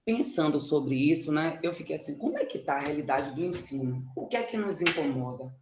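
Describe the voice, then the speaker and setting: A woman's voice: deep voice, expressive, brisk, noisy background. Roomy, muffled Bahian woman presenting informally. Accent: Bahian (Salvador) Brazilian Portuguese. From Salvador, Bahia, Brazil. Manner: chatty, self-deprecating, often seeking confirmation. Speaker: mathematics teacher presenting research. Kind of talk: informal online oral presentation. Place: indoors, on an online conferencing platform. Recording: muffled, roomy online call audio.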